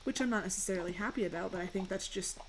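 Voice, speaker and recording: A young woman with a fairly deep voice, chatting casually and rambling while she streams a game and answers questions from chat. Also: racing, a little hiss or hum.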